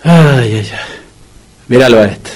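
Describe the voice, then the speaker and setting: An Argentine man playing a gloomy, soft-hearted dog owner in a comic radio drama, colloquial and repeating his words. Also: medium pitch, animated, measured pace. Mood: mournful, resigned. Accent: Argentine Rioplatense. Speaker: radio comedy actor.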